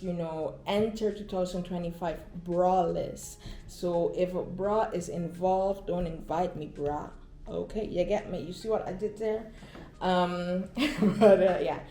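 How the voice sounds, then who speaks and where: A woman's voice: lowish voice, dark tone, roomy sound. Chatty woman vlogger, playful and rambling. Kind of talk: casual vlog chat.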